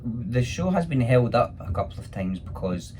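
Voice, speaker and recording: A man's voice: mid-range voice, very animated, normal speed, darkish tone, a little hiss or hum. A man with a Scottish accent who performs in pantomime, answering questions in a casual chat.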